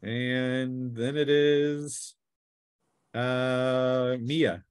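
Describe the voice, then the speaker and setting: A man's voice: mid-range voice, fairly even intonation, very slow, smooth. A man acting as game master, narrating a tabletop role-playing game in a casual, teasing way.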